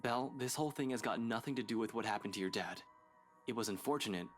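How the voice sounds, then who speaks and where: A man's voice: lowish voice, fast talker, a bit bright, faint music behind, close to an everyday voice. An actor voicing a blunt, weary male police detective in a horror visual novel game. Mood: firm, consoling.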